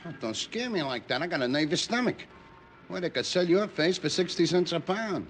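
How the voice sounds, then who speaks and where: Very noisy vintage radio recording, actor as jittery American taxi driver, high pitch, comic grumbling. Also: male voice, expressive, brisk, lightly acted. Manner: squeamish, wisecracking. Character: jittery wisecracking male city cab driver. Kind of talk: 1940s radio crime acting.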